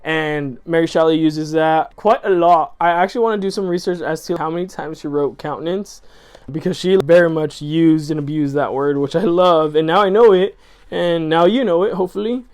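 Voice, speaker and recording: A man's voice: on the high side, normal speed, a little mellow, clear, resonant tone. A man who grew up speaking Spanish, talking to camera in a rambling, earnest, effusive way and correcting himself as he goes. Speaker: channel host. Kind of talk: casual chat to camera.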